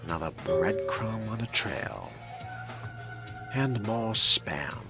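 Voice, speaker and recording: Male game role-player, very low voice with lively swings, dry sarcastic asides, loud music underneath. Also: slow pace. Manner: sardonic, dry muttering to himself. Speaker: player role-playing a vampire fledgling in a video game. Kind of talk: in-character role-play game commentary.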